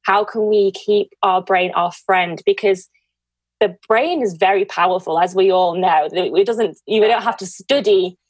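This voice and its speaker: A woman hosts a casual podcast conversation at a medium pitch. She is effusive and encouraging with her guest. The sound is dry, with very little room around the voice. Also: unhurried, husky, dry recording, low-quality recording. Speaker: podcast host.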